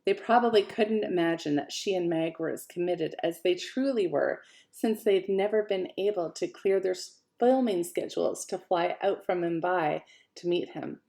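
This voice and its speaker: A woman author reading her own fiction aloud in an expressive, lively way. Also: fairly low pitch, normal speed. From Canada. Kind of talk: audiobook-style fiction reading.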